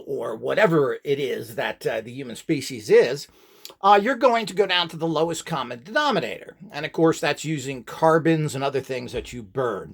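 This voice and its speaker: Guy doing a rambly how-to talk, kinda high voice, really up and down, blunt and dismissive opinions. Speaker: instructor and host. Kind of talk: informal rambling instructional talk.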